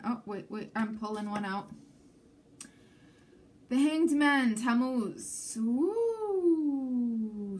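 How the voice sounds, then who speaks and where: A woman from Canada whose first language is Armenian, giving a chatty tarot reading to camera and often checking in with the viewer. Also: fairly high pitch. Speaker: tarot reader.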